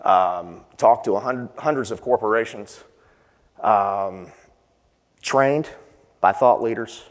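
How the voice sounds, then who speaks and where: A man's voice: lowish voice, takes it slowly, long pauses. A man leading a conference session, talking conversationally and putting questions to the audience. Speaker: session presenter. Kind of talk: conference panel talk. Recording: long hall reverb.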